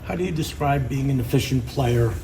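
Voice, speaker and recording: A man's voice: mid-range voice, a little fast, dark tone, slight background noise. A man reporter asking plain, direct questions at a press conference.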